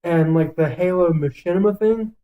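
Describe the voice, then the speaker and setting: A man's voice: high-pitched, moderate pace, phone-quality sound. A man co-hosting a casual podcast, talking in a blunt, foul-mouthed and emphatic way as he gives his opinions. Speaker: podcast co-host. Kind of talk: casual podcast chat, ranking list.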